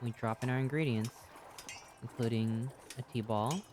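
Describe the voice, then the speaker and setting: A streamer in their thirties chatting casually over a video game, profane and slangy, with a self-deprecating, animated way of riffing. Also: medium pitch, unhurried, background noise. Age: 30s. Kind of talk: casual livestream chat over gameplay, reading and answering viewer comments. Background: game music.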